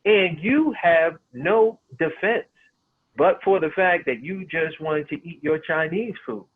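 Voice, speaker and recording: A man from New York co-hosting a legal talk show, speaking in lively street slang and acting out imagined judges. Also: a little high, takes it slowly, a little hiss or hum. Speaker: lawyer co-host. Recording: phone-like remote audio.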